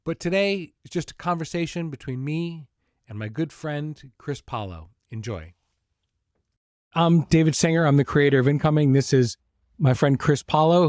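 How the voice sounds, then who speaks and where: A man's voice: mid-range voice, a little mellow, no room sound. A man hosting a podcast and talking casually as a series creator, informal, with dry joking asides. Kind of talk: casual podcast introduction and conversation.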